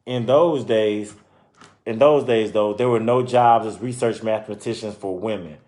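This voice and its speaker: A man reading a children's book aloud and pausing to explain and comment informally to his listeners. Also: on the low side, a little mellow. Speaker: reader presenting a children's book. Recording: roomy, echoing sound.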